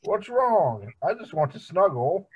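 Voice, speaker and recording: Man doing dim, husky cartoon husband, high-pitched. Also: huge pitch swings, measured pace, muffled recording, heavily acted. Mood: whiny, pleading. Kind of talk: amateur cartoon table read. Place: home room, on a video call.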